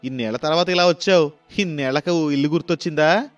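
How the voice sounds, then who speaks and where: A man's voice: on the high side, fast, soft background music, pitched above the performer's own voice, lightly acted. A man voicing a familiar, teasing middle-aged village uncle in a moral story.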